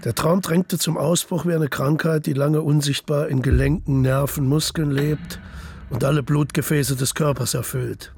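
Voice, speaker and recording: A man with a creaky, gravelly voice, narrating a literary radio play. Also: on the high side, steady tone, moderate pace, darkish tone.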